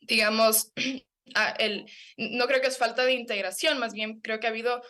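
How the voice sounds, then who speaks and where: A woman's voice: a little high, fairly even intonation, normal speed, bright, forceful tone. Passionate young woman activist. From Ecuador. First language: Kichwa. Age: young adult. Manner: earnest and passionate, with frequent fillers and restarts. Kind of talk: interview answer, advocacy.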